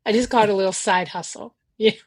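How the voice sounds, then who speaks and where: Husky chatty woman with a Boston accent. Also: medium pitch, quick pace, dry recording. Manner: chatty, laughs often, many fillers. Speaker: podcast cohost, religious sister. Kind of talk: casual chat.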